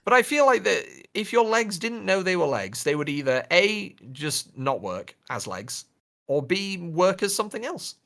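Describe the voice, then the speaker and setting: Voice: medium pitch, very animated, moderate pace, a bit bright. A podcast co-host chatting casually in a playful, rambling way. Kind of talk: casual chat.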